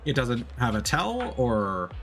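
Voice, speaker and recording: A man giving casual, off-the-cuff commentary as a livestreamer, thinking aloud in a halting way. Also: mid-range voice, wildly swooping pitch, a bit bright, soft background music. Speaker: livestreamer, gamer. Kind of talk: casual gaming stream commentary.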